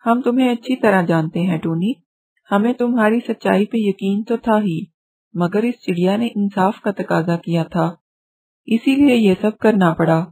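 A woman voicing a wise male parrot elder in a children's story, measured and authoritative. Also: medium pitch, mellow, slightly rough, close to an everyday voice, rough recording. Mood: reassuring.

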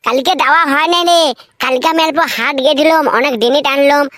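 A woman's voice: high voice, fast, fairly bright tone, dry recording, clean studio sound. A woman voicing a cheeky, eager village schoolchild in a comic cartoon skit, with a showy, muddled way of reciting. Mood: chatty.

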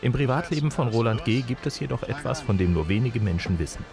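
A man narrating a scripted true-crime TV report in a dramatic, clearly articulated way. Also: mid-range voice, animated, fast. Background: prominent music.

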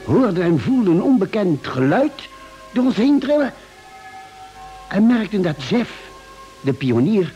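An expressive space expedition doctor. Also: male voice, high-pitched, a little slow, long pauses, lo-fi sound, faint music behind. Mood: measured, suspenseful. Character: calm ship's doctor and narrator of a space expedition. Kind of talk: 1950s radio drama acting and narration.

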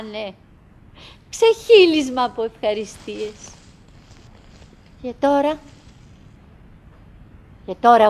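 An actress playing a wistful, chatty middle-aged woman in a radio drama monologue, halting and repeating herself theatrically. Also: on the high side. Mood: wistful, searching, then insistent, remembering. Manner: halting, repetitive, dramatic shifts between musing and exclaiming.

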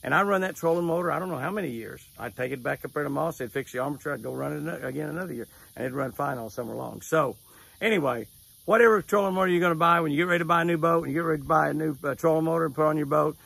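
A man's voice: on the high side, very fast, vocal fry, a bit bright, slightly rough. An older man, telling folksy stories outdoors and repeating key phrases as he gives a devotional and a fishing tip. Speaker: devotional host and angler. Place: lakeside lawn outdoors at sunrise.

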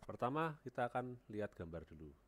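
A man's voice: medium pitch, very animated, a little fast. A man with a cold talking through a CAD modeling tutorial on a headset, thinking aloud and repeating numbers as he types them. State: has a cold. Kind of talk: screen-recorded software tutorial.

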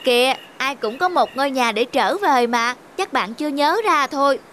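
A woman voicing a kindly, sensible spider in an animated fairy tale, advising and reassuring. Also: high-pitched, moderate pace, a little hiss or hum. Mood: concerned, kind. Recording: music under the voice.

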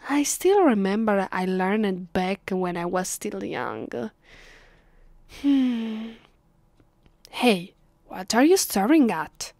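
A woman with an Italian accent playing a haughty, teasing ancient vampire mistress in a soft, half-whispered ASMR roleplay, condescending and commanding. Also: mid-range voice, expressive, takes it slowly, long pauses, dry recording. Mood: impatient, commanding. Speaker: voice actress. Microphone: close, dry microphone.

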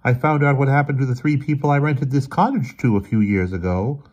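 Guy doing a worn out, urgent older brother from a storybook, pitched way up, loud and dramatic. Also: fairly quick, muffled recording, natural voice. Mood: snapping, insistent. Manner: emphatic, theatrical, exasperated. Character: weary, grave older brother, shaken and urgently insistent.

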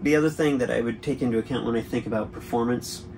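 A man's voice: mid-range voice, fast talker, creaky, noisy background. A man in his forties hosting a boating channel, chatting through a product review from a boat cabin with an easygoing, explanatory manner and frequent fillers.

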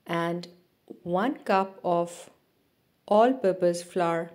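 A woman narrating a cooking video as a voice-over, giving steady step-by-step instructions with small restarts. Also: lowish voice, very slow. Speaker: cooking channel host.

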